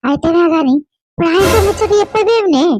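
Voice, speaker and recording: Woman playing a very high-pitched nosy Telangana village woman. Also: animated, fast talker. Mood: scolding. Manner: exclamatory, earthy, teasing scolding. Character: nosy, scolding village woman fond of gossip. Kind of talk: comic village gossip dialogue in a cartoon.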